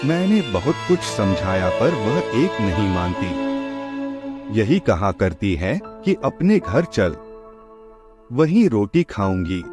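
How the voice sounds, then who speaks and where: A man's voice: on the low side, a little mellow. A man voicing a poor, grieving elderly widow in a moral storybook tale, humble and deferential. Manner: pleading, deferential.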